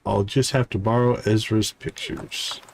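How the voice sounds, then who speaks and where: A man's voice: on the low side, fairly even intonation, a little slow, vocal fry, slight background noise. A man livestreaming a game, reading character dialogue aloud with stumbles and short asides. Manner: reads on-screen text aloud with stumbles and asides. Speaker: game streamer.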